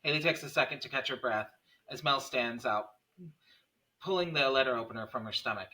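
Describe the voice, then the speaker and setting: Male narrator for a script table read, stage directions in a bright, forceful mid-range voice. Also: mid-range voice, brisk.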